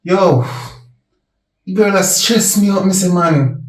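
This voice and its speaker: A woman's voice: on the low side, slow pace. Woman playing a lovesick guy in a roomy space. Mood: stressed. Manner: loose, slangy banter. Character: laid-back young man, lovesick and stressed. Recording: roomy, distant from the mic.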